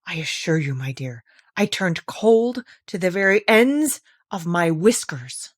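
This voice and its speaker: A woman's voice: fairly low pitch, lively intonation, a little slow. Woman playing a flustered, fussy storybook king. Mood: shaken. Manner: dramatic, flustered.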